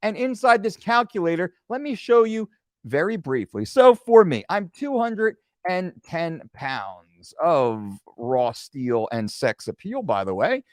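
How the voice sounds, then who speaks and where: Animated man in his forties explaining. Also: sits high, measured pace, no room sound. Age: 40s. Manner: animated, joking asides. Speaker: fitness coach. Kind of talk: screen-share tutorial.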